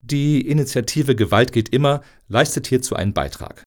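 An actor playing a smug lobbyist in a satirical radio play, speaking in glib, wordy official euphemisms. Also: male voice, medium pitch, moderate pace, close to an everyday voice. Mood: smug, emphatic. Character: smug male lobbyist for tolerating violent crime.

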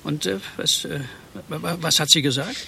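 A man's voice: on the high side, moderate pace, gravelly, sharp tone, slight background noise, heavily acted. An actor playing a nervous, easily flustered programmer in a radio play, stammering and hesitating as he talks. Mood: awkward, flustered. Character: nervous, easily flustered male programmer, guilty secret smoker. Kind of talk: German radio play acting.

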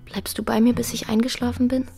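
A young actress playing a questioning, defiant teenage daughter in a radio drama, talking candidly and searchingly. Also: female voice, a little high, flat delivery, fast, gravelly, warm tone, soft background music. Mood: vulnerable, questioning. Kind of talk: German radio drama acting.

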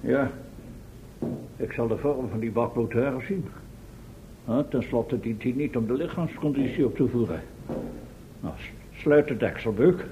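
An actor playing a brisk, commanding professor and inventor in an old Dutch radio play, lecturing and impatient. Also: male voice, lightly acted. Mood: businesslike. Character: brisk, commanding older male professor, eager inventor of time machine. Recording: dull, noisy old radio recording with rumble.

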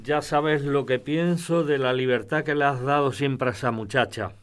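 Actor doing a strict old fashioned older guy, preachy, mid pitched voice, radio drama. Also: moderate pace, natural voice. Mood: disapproving. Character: stern, moralising old-fashioned older man. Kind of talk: audio drama acting.

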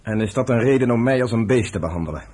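An actor playing a proud wounded fighter, probing and earnest, in a radio drama scene. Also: male voice, mid-range voice, lively intonation, fast, warm tone, breathy edge. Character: wounded fighter, proud, questioning his lover.

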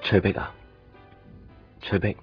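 A man's voice: slow pace, natural voice. A man playing a brooding young boyfriend in a radio drama, subdued and plain-spoken. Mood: quiet, uneasy. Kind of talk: Cantonese radio drama narration and dialogue. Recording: dull lo-fi radio recording, music behind.

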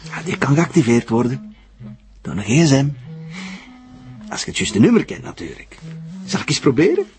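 An actor playing an obsessive, menacing Flemish captor in a television crime drama, coaxing with sing-song mock tenderness. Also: male voice, mid-range voice, wildly swooping pitch, normal speed, pauses often, a little mellow, faint music behind. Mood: gloating menace.

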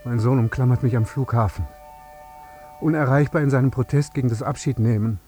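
An actor playing a grieving, vengeful father in a radio drama, speaking in a deliberate, accusing and repetitive way. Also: mid-range voice, warm tone, soft background music, lightly acted. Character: grieving, vengeful father confronting an air traffic controller.

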